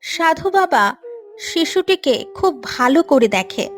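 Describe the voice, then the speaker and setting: A woman's voice: high-pitched, big pitch swings, slow. A woman narrating a scripted story as the storyteller. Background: soft music.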